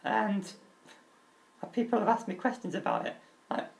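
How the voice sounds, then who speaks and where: A young man with a crackly, high-pitched voice, talking openly and earnestly about himself, with halting restarts. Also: very animated, creaky. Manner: open and earnest, halting restarts, nervous laughs. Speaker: vlogger. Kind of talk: candid personal talk.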